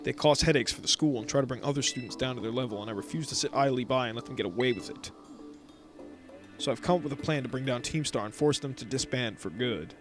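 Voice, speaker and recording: A man voicing a mysterious phone caller who plans to take down a gang, reading the lines in a calm, earnest way while playing a game. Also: medium pitch, quick pace, music in the background, lightly acted. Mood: scheming.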